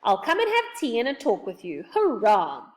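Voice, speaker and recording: A woman's voice: on the high side, wildly swooping pitch, moderate pace, recorded from a distance. A woman voicing a cheerful, muddled, hard-of-hearing old man from a children's storybook, exclaiming emphatically. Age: older. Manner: emphatic, exclaiming, eagerly mishearing. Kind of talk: children's storybook character reading.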